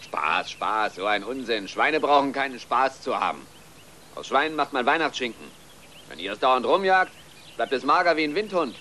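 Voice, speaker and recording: A stern, practical farmer father in a children's series. His blustering scolding swings widely in pitch with a forceful edge. There is faint outdoor noise behind him. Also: lively intonation, moderate pace. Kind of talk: dubbed children's series dialogue.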